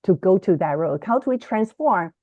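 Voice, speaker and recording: Woman, Chinese native, educator guest; lively, explanatory, self-correcting delivery; dry, slightly muffled call sound. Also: medium pitch, moderate pace, no echo. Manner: animated, self-correcting, teacherly. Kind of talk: explanatory interview talk. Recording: dry, slightly dull lo-fi audio.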